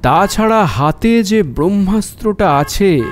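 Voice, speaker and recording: A man's voice: high voice, animated, a little slow, slight background noise. A man acting a shrewd, courteous private detective in an audio drama, probing and decisive in his talk. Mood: measured reasoning.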